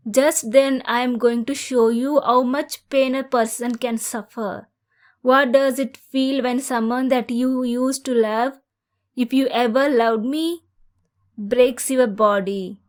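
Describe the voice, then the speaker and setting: A woman playing an unhinged, obsessive jilted girl. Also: fairly high pitch. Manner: unhinged, swinging between hushed menace and screaming. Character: obsessive heartbroken young woman holding her ex captive. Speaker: voice actor. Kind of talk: audio roleplay acting.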